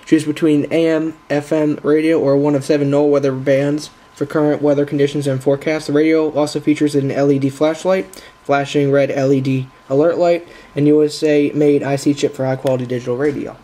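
A man hosting a casual unboxing vlog in a halting, informal way, recorded indoors on a handheld camera's built-in microphone. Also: on the high side, level tone, dark tone. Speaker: video host.

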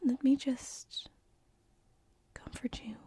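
A woman playing a gentle, teasing sleep paralysis demon in a whispered ASMR roleplay, close on a binaural microphone, with a breathy, hesitant delivery. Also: high-pitched, fairly even intonation, exaggerated voice. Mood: sympathetic, soothing. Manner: slow, soothing, hesitant, teasing.

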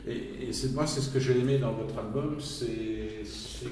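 A man's voice: mid-range voice, measured pace, a little mellow. A man working as a radio interviewer, chatting casually with his guests. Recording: very echoey, slightly distant, background noise.

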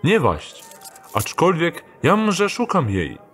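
A man's voice: on the high side, wildly swooping pitch, slow, breathy edge, heavily acted. A crafty thief passing himself off as a well-mannered messenger, played by a man in an audio drama. His speech is formal and old-fashioned, with a very varied melody. Faint music sits behind him. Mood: courteous, feigning. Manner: formal, polite, archaic courtly phrasing. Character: sly male thief posing as a courteous gentleman messenger.

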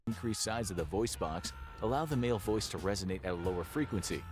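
A man giving scripted educational narration in an even, explanatory way, played from a video. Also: low voice, quick pace. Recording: played video with faint music.

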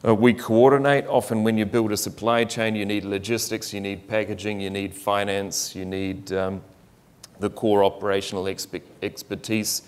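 A middle-aged man speaking as a panelist at a conference, explaining his organization in a measured way and listing examples. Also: fairly low pitch, level tone, clean tone. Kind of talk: panel discussion answer. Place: conference room.

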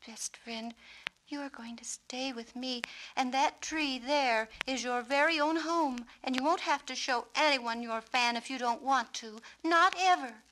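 A woman voicing an earnest, tender little girl in a storybook, soft and breathy. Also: measured pace, a little hiss or hum, low-quality recording. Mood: tender, fervent. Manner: soft, breathy, higher than the narration.

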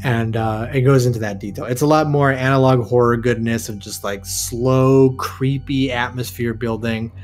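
Mid-pitched man explaining casually over music. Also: fairly even intonation, normal speed, few pauses. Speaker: co-host of a horror discussion show. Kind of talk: casual discussion and commentary. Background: music behind the voice.

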